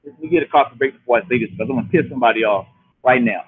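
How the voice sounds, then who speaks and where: A man's voice: mid-range voice, very fast, breathy edge. A man in his late forties hosting a motivational talk show, preaching with emphatic repetition over a phone line. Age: 40s. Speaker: show host. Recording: tinny phone-line sound.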